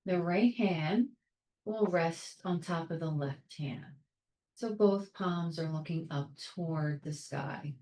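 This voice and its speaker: A woman leading a guided meditation in a calm, soothing, measured way, leaving long pauses between short phrases. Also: lowish voice, roomy sound, poor audio. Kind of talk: guided meditation, soft instruction.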